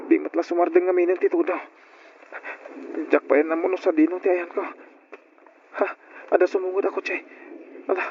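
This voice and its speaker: An actor with an Ilocano accent playing a meek, mistreated orphan of twenty, pleading and plaintive, in old radio drama style. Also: male voice, fairly high pitch, creaky. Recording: dull, noisy old radio drama recording.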